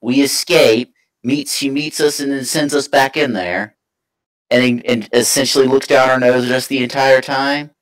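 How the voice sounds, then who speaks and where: A man's voice: mid-range voice, steady tone, normal speed, low-quality recording. Skeptical man arguing in character. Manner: skeptical and sardonic. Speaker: tabletop game player. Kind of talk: in-character argument.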